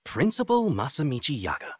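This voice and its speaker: A man's voice: medium pitch, huge pitch swings, brisk, slightly rough. A man voicing a cocky, playful young sorcerer teacher in an anime dub, with a breezy, teasing, theatrical manner. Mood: playful, upbeat. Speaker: voice actor in an English anime dub. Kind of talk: animated dub dialogue.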